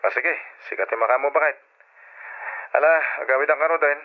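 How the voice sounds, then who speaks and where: An actor playing an evasive, hard-drinking husband with an offhand colloquial delivery in old radio drama style. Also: male voice, a little high, a little fast, close to an everyday voice. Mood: casual. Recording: muffled, noisy old radio recording.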